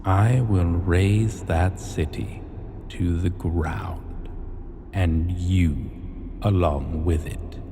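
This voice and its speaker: Man voicing a slow, deep dragon king in reverb. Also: fairly even intonation, warm tone. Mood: menacing. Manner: slow, commanding, formal, deliberate. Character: imperious male dragon king, possessive, seductive, menacing. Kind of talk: ASMR fantasy roleplay acting. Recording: long cavernous reverb, clear background noise.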